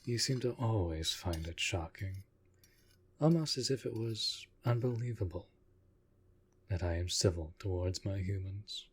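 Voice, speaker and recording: A man playing an aloof, commanding yet protective vampire master in an intimate roleplay audio drama, speaking in a formal, measured way. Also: low-pitched, breathy edge. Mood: measured, civil. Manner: formal, measured, deliberate speech.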